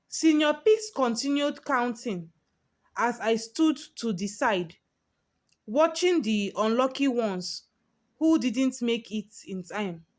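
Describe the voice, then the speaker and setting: A woman storyteller giving a dramatic, scripted first-person narration. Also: fairly high pitch.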